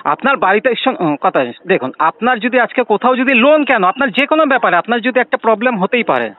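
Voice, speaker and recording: A high-pitched man with a West Bengal Bengali accent, speaking over a thin, narrow phone line. He argues like a persistent customer, pressing questions and circling back to his points. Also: animated, fast talker. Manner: insistent, questioning, repeats himself. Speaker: bank customer on a debt recovery call. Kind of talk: argumentative phone conversation. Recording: tinny, narrow-band phone line recording.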